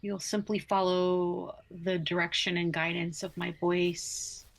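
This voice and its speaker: A woman guiding a yoga nidra relaxation in a soothing manner, speaking in short phrases with long pauses. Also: fairly low pitch, flat delivery, vocal fry. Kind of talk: guided relaxation meditation, slow spoken instructions.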